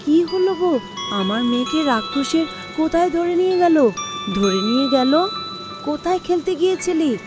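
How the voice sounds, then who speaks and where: A woman's voice: high-pitched, lively intonation, moderate pace, over music. A woman voicing a worried village mother in a cartoon story. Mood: alarmed, scolding. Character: worried village mother seeking her daughter. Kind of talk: cartoon voice acting.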